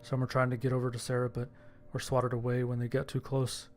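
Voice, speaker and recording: A man reading scripted first-person horror fiction as its narrator, with a gravelly voice. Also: mid-range voice, completely flat delivery, fast, a little mellow, faint music behind.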